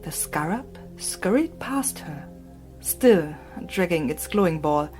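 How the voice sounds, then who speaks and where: Slow, hushed woman narrating an audiobook. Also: lowish voice, expressive, soft background music. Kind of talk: scripted literary narration.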